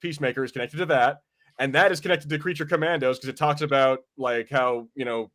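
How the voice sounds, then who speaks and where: A man's voice: mid-range voice, quick pace, fairly bright tone. Man in casual, sweary podcast chat. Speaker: podcast co-host.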